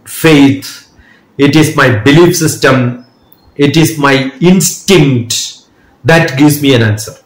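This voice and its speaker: A man speaks in a slightly raised register, giving long, thoughtful answers and pausing to restart his phrases now and then. His voice carries a noticeable room echo. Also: on the high side. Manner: measured and thoughtful, explains at length with small restarts. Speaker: guest, educator and speaker. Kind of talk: reflective interview answers. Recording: roomy echo.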